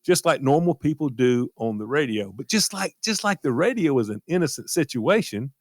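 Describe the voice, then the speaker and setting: A man's voice: medium pitch, animated, moderate pace, no echo, clean studio sound. A middle-aged man chatting casually and telling personal stories in a folksy way, repeating himself for emphasis. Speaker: radio show regular telling personal stories. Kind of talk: casual chat, personal anecdote.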